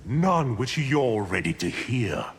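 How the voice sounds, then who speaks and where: An actor playing an arrogant, menacing evil sorcerer in a video game, with haughty, deliberate, theatrical speech. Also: male voice, medium pitch, very animated, husky, heavily acted. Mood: haughty, scornful. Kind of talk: dramatic video game villain acting. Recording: long hall reverb, music underneath.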